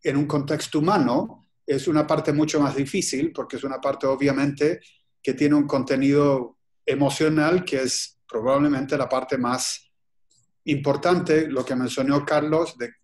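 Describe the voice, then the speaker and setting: A man from Chile giving a webinar presentation over a video call, speaking in a halting, deliberate way with frequent pauses and restarts. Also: mid-range voice, a little mellow. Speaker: guest presenter.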